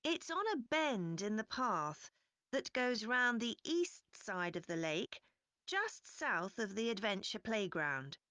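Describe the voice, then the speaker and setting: A woman playing a park representative giving a measured, scripted informational presentation to visitors. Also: mid-range voice, sing-song, bright, forceful tone, no echo, heavily acted. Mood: informative. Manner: measured, clearly explanatory. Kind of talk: scripted informational presentation, listening test recording.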